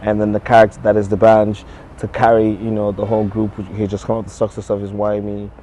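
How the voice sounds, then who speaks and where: Young nigerian guy, deep warm voice, halting chatty answers, faint traffic hum behind. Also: monotone. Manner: halting, many restarts and fillers. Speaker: musician guest. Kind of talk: casual interview chat.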